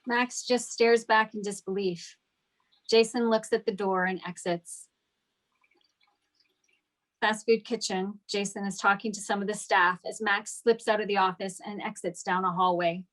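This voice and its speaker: Medium-pitched woman reading stage directions. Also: fairly even intonation, unhurried, fairly bright tone. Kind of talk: scripted narration at a table read.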